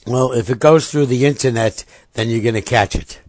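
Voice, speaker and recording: Raspy-voiced talk show host with laryngitis. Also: male voice, medium pitch, moderate pace, a little mellow, dry recording. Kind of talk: casual radio talk show chat.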